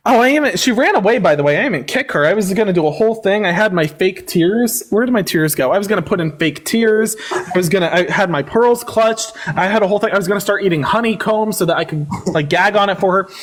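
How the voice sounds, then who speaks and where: A man hosting a live online debate, needling his callers with a sarcastic, combative edge. His voice swoops up and down in pitch as he talks. He plays to his audience with a theatrical flair. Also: lively intonation, quick pace. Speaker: livestream debate host. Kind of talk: livestream debate chat.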